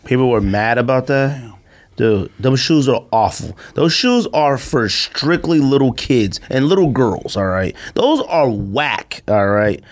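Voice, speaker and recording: Animated, slangy American man chatting. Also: medium pitch, a little slow, slight background noise. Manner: slangy, informal, blunt; acts out imagined quotes. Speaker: podcast host. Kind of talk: casual opinionated chat.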